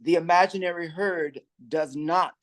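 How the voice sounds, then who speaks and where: A man reading stage directions aloud in a measured, even way during a play reading. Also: fairly high pitch.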